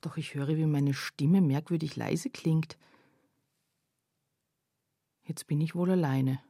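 A reflective woman narrating a literary radio play. Her voice is low and mellow with a husky edge. She speaks deliberately, as if observing a huge painting. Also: fairly even intonation, full character voice. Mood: pensive, uneasy. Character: pensive female first-person narrator viewing a panorama.

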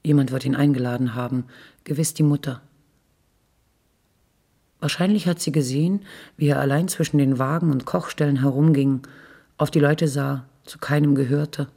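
Thoughtful radio play narrator, measured, creaky husky soft voice. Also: female voice, low-pitched, full character voice. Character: thoughtful narrator recalling a refugee campfire. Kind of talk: literary reading in a radio play.

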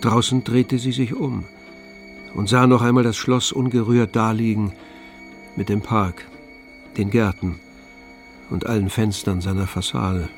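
A man narrating a literary radio play from a script in a measured, solemn manner. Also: lowish voice, fairly even intonation, soft background music.

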